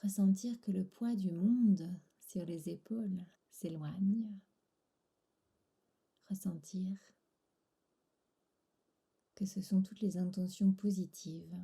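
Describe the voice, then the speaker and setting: A woman guiding a relaxation meditation in a soft, breathy, soothing voice, pausing between short phrases. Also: fairly low pitch, fairly even intonation. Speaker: yoga nidra instructor.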